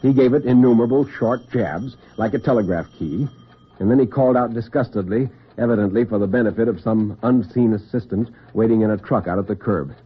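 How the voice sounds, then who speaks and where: A man narrating an old-time radio suspense story with deliberate, literary intensity and a husky voice. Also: on the low side. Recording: tinny, band-limited vintage radio recording.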